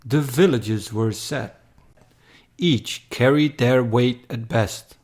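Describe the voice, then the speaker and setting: A man narrating a scripted dramatic poem in a measured, solemn manner. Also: medium pitch, a little mellow.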